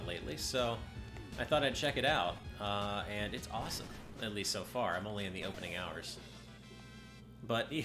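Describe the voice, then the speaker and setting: A man streaming a video game, chatting casually in a slightly nasal voice and often breaking off mid-sentence as he plays. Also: lowish voice. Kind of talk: casual live-stream gameplay commentary. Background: game music.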